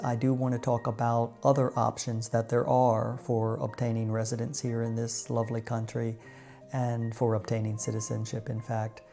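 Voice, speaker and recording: A middle-aged man with an American accent tells his personal story to viewers in a measured, reflective way with deliberate pauses. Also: medium pitch, creaky, dark tone. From United States. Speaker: vlogger.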